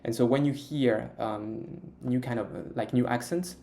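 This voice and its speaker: A young Frenchman with a French accent answers interview questions in a hesitant, filler-heavy way. His voice is gravelly and mellow, sitting at a middle pitch for a man. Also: fairly even intonation, moderate pace. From France. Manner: hesitant, many fillers and restarts. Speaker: interview guest, Mandarin learner. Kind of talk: casual interview chat.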